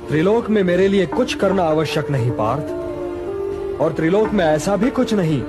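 An actor playing a serene god counselling a warrior in a solemn, declamatory Indian mythological TV drama. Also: male voice, high-pitched, animated, normal speed. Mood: solemn, instructive. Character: serene divine warrior-charioteer counselling his friend on duty. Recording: loud music under TV drama audio.

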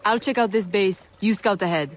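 A woman's voice: medium pitch, moderate pace, slight background noise, close to an everyday voice. A woman playing a poised, determined young assassin in a video game. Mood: resolute.

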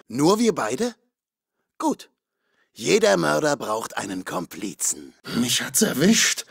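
A raspy masked slasher killer from a video game, with a forceful edge and swooping pitch. He is sadistic and mocking, taking his time as he taunts his victims and calls out combat lines. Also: no room sound, studio quality, exaggerated voice. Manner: taunting, sardonic.

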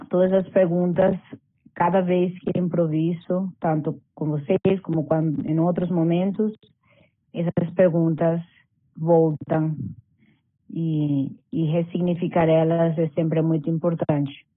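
A woman from Venezuela, a dancer, speaking slowly and thoughtfully on a video call, searching for her words. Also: fairly low pitch, little pitch movement, no room sound. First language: Spanish. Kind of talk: video call panel talk. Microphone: laptop mic on video call, camera off. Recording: tinny compressed call audio.

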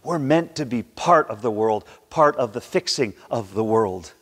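A man in his sixties preaching a sermon with theatrical, emphatic delivery and dramatic pauses, recorded in a church. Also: mid-range voice, lively intonation. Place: large church sanctuary, indoors at a pulpit. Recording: reverberant church hall.

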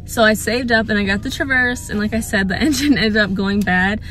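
A woman's voice: medium pitch, a little fast, a bit bright, soft background music. A woman in her twenties chatting to camera from inside a parked car, earnest and full of fillers and repeats. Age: 20s. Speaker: vlogger. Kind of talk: casual chat to camera. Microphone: phone held at arm's length or mounted on dashboard.

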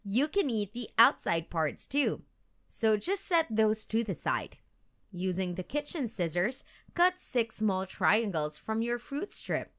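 A woman reads a children's book aloud. Her voice is very bright and moves animatedly up and down. She takes her time, telling it deliberately and expressively. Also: mid-range voice. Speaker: storytime channel narrator.

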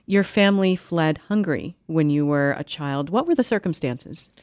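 A woman in her forties hosting a radio interview, measured in delivery, close on a studio microphone. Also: low voice, a little mellow, smooth, no room sound. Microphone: studio microphone on boom arm, close.

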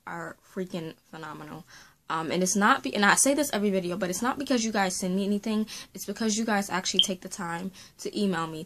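A woman's voice: medium pitch, fairly bright tone. A woman in her late twenties, a faith and beauty vlogger, talking casually to camera from a small room at home, rambling and gesturing, her throat sore after being sick. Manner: rambling, talks with her hands. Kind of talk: casual chatty vlog to camera.

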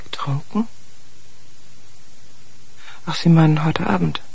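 An actress playing a brooding, guarded widow in a radio crime drama, speaking in a measured, subdued way. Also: female voice, low-pitched, creaky, darkish tone, breathy edge, no room sound, full character voice. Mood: subdued, evasive.

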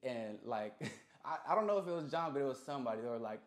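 A man's voice: mid-range voice, lively intonation, fast. A young man telling casual interview stories in a slangy, profane, boastful way. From Carson, California. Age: young adult. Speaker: interview guest, rapper. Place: small dark music studio room. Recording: roomy echo.

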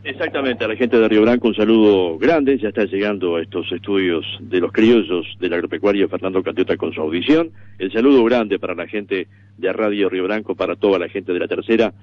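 A man's voice: on the low side, fairly quick. A man with a Uruguayan accent presenting on the radio in an announcer's sign-off style. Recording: dull AM radio stream.

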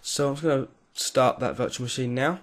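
A man giving a clear step-by-step voice-over for a software tutorial. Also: mid-range voice, fairly quick. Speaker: tutorial creator. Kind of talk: screen-recorded software tutorial.

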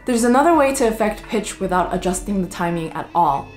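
A woman's voice: medium pitch, lively intonation, fairly quick, over music. A young American woman hosting with scripted educational narration. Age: young adult. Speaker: host. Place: wood-panelled room indoors.

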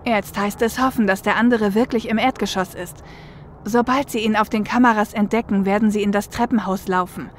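A woman's voice: mid-range voice, steady tone, brisk, close to an everyday voice. A woman playing an analytical, level-headed shadowrunner and getaway driver in a fan audio drama. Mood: focused planning. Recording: faint car and traffic noise.

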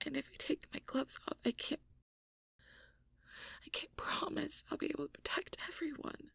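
A woman playing a shy, anxious young mage girlfriend in an intimate audio roleplay, hesitant and stammering in a breathy whisper. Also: high voice, normal speed, a bit bright, dry recording, studio quality, heavily acted. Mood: scared, worried. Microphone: close microphone, dry.

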